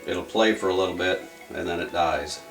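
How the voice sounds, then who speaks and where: A man's voice: low voice, normal speed, faint music behind. A man hosting a casual repair video, talking through the job step by step in a plain-spoken way. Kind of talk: casual hands-on repair walkthrough.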